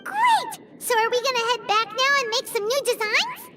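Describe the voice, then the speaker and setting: Tiny fairy companion, very high, sing-song, excitable, game music behind. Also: female voice, moderate pace. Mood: eager. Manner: speaks of herself in third person, excitable. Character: tiny floating female companion, chirpy and eager. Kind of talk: video game voice acting.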